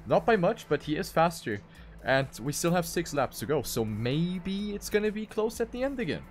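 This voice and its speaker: Man, higher-pitched voice, live esports racing commentary, music behind him. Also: very animated, moderate pace. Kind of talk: live video game race commentary.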